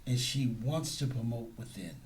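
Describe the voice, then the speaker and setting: A man's voice: medium pitch, normal speed, slight background noise. A man who trains security guards, speaking in a casual interview and repeating his points for emphasis.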